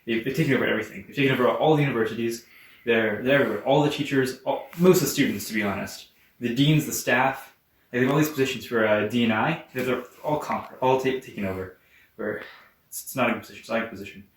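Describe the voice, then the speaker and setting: Fast rambling man, far from mic. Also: mid-range voice, slight background noise. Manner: rambling, halting. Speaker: performer in a skit. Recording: echoey room, mic far off.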